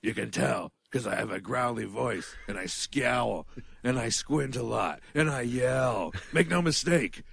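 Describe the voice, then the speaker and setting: A man putting on a growly gravelly villain. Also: mid-range voice, a little slow, no room sound, clean studio sound, heavily acted. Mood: menacing. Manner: squinting menace, bursts into yells. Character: scowling growly male villain. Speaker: podcast co-host.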